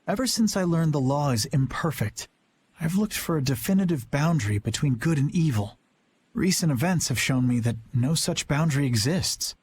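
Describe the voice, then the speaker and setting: Man voicing an earnest, higher-pitched young knight. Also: expressive, darkish tone, slight background noise, natural voice. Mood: earnest, resolute. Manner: formal, measured, resolute. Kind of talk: English-dubbed anime game voice acting. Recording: music under the voice. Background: music.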